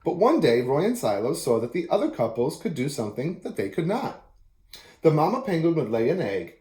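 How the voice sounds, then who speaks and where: Lively, mellow-voiced man reading aloud. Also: fairly high pitch, brisk. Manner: expressive storytelling. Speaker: children's book reader. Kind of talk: reading a picture book aloud. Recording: echoey room.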